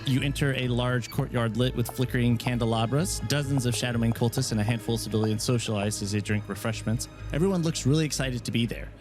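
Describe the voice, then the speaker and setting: A man's voice: mid-range voice, fast, few pauses, music in the background. Man narrating as game master. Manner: descriptive, steady scene-setting.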